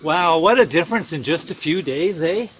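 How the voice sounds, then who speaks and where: A man's voice: a little high, lively intonation, normal speed, a bit bright, a little hiss or hum. Chatty man by a campfire. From Canada. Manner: easygoing, chatty, says "eh". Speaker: channel host. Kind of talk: casual vlog chat. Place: outdoors at a night campfire in the woods.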